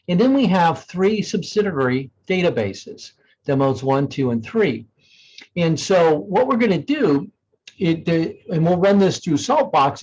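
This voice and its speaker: Higher-voiced man giving a software demo, dull audio. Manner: conversational, explanatory, thinking aloud. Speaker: software demonstrator. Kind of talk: informal software demo walkthrough.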